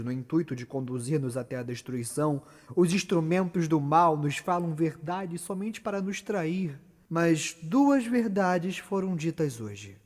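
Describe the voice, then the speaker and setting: An ambitious nobleman torn by dark desires, played by a male actor with a Brazilian accent. His voice sits a little high and rings in a long reverb. He declaims in solemn, measured phrases, like classical stage tragedy. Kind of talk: theatrical Shakespearean monologue. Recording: reverberant room with long echo.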